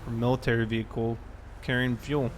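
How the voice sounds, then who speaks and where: A man's voice: mid-range voice, level tone, fairly quick, slight background noise. A man chatting casually on a livestream while playing a game. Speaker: livestreamer playing a police officer. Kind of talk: casual livestream chat over gameplay.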